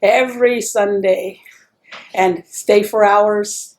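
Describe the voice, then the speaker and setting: A woman's voice: medium pitch, fairly even intonation, slow, darkish tone. Chatty Texan woman recalling her childhood. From El Paso, Texas, US. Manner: chatty, rambling, with restarts and laughs. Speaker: interviewee. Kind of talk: oral history interview.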